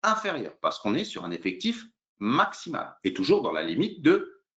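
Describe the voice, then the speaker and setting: Un homme qui fait une présentation juridique explicative, semi-improvisée, de façon didactique, pas à pas, avec beaucoup d'hésitations. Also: male voice, mid-range voice, huge pitch swings, normal speed, a bit bright, muffled recording. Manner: hesitant, didactic, step-by-step with frequent fillers. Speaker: lawyer presenting a legal webinar. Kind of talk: explanatory legal presentation, semi-improvised.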